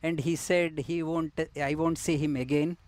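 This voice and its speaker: A middle-aged man from India, a yoga teacher, telling his life story with many pauses, fillers and restarts. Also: on the high side, steady tone, darkish tone, no echo. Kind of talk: personal life story interview.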